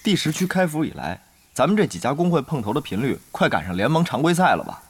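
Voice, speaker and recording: A man's voice: on the high side, very animated, fast. A man playing a wry, cautious online guild leader in a Mandarin audio drama, weighing things aloud sceptically. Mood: wry, teasing. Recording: music behind the dialogue.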